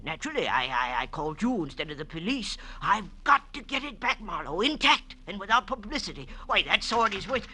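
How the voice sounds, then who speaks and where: A fussy older gentleman collector, high-pitched and very sharp-toned. His delivery swings up and down, flustered and genteel with small stammers, in old-time radio drama style. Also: sing-song, a little fast, hardly any pauses, heavily acted. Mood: earnest, agitated. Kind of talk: 1940s radio drama acting.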